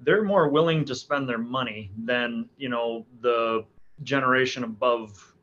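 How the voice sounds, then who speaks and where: Bright forceful guy in his 40s, kinda hesitant, lotta ums and restarts, dull lo-fi audio. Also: mid-range voice, unhurried. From Alaska. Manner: hesitant, many restarts and fillers. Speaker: hunting guide. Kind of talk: casual podcast interview answers. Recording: dull lo-fi sound.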